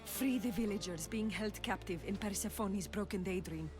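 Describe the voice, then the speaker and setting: A woman's voice: mid-range voice, normal speed, music in the background, natural voice. A woman playing an anxious, secretive village mother in a video game, speaking formally and urgently. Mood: worried, pleading. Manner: dramatic, urgent, formal wording. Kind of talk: video game cutscene acting.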